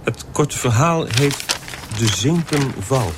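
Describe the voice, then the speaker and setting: A man's voice: mid-range voice, expressive, slow, noisy background. A man playing an earnest, stiffly polite story reader, formal and solemn, in an absurdist radio play. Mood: earnest reciting. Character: earnest, stiffly polite man reading short stories aloud.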